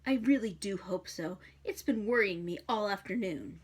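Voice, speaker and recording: A woman's voice: mid-range voice, moderate pace, slightly rough, lightly acted. A woman reads the part of an anxious, well-meaning angel from a storybook. He is polite and fussy. He speaks earnestly and hesitantly, as if forever worried.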